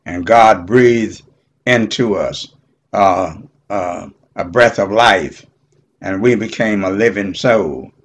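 An elderly man teaching Bible study in a halting, deliberate way, punctuated with amens. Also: lowish voice, slight background noise. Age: 70s or older. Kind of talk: informal Bible teaching with scripture reading.

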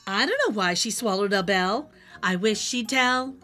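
A middle-aged woman hosting a children's read-aloud, telling a rhyming storybook in an animated, sing-song way over music. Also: mid-range voice, normal speed. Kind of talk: expressive rhyming storybook narration. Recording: music behind the voice.

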